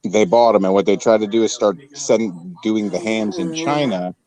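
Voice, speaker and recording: A man speaking calmly and matter-of-factly in a casual group voice chat. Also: lowish voice, normal speed, a crowd in the background, low-quality recording. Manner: calm, matter-of-fact.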